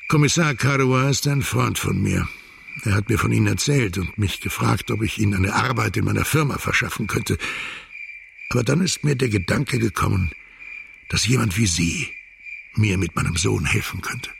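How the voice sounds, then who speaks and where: A man's voice: fairly low pitch, normal speed, vocal fry, background noise. An actor playing a stern, middle-aged widowed businessman and exhausted father in a radio crime drama, speaking formally, bluntly and with tight control. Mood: weary, hard.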